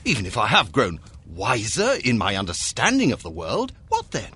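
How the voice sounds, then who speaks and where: Proud young miser, husky, defensive, wildly swinging pitch, radio drama. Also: male voice, wildly swooping pitch, normal speed, sharp tone, exaggerated voice. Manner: defensive, agitated.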